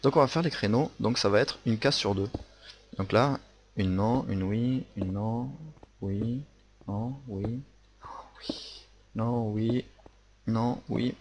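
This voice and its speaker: A man's voice: lowish voice, slow, long pauses, a little mellow. Man with a Marseille accent. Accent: Marseille French. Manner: hesitant, many fillers and restarts. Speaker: Minecraft building tutorial host. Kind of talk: casual tutorial narration. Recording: faint noise and clicks.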